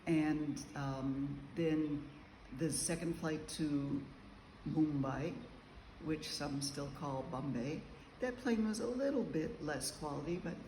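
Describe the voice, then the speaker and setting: A man with a slightly raised voice rambles through a casual travel diary, full of ums and pauses. He talks in a large high-ceilinged room that rings with echo, and faint ambience hums behind him. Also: darkish tone, a little hiss or hum. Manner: meandering, chatty, frequent ums and pauses. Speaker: travel vlogger.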